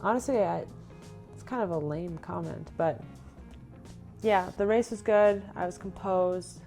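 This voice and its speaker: A woman's voice: fairly low pitch, measured pace, gravelly, dark tone, soft background music. A young woman from Canada, a runner, chatting casually in a vlog and reading out her own race notes. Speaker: runner recapping her racing season.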